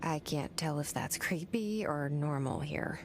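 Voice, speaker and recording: Low, whispering, wary woman. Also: unhurried, background noise. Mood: wry, guarded. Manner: hushed, whispered, deadpan. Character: wary, dry woman's private inner voice. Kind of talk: video game inner monologue.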